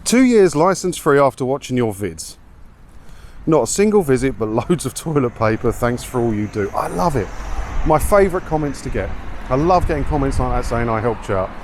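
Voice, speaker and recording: Light outdoor noise of wind and street surrounds an English man with a mid-pitched voice. He talks casually as he walks, rambling like a video blogger. His manner is chatty, self-deprecating and given to grumbling. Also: big pitch swings, brisk. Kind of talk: casual walk-and-talk vlog. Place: outdoors, walking along a windy seaside town street. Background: wind, street and vehicle noise.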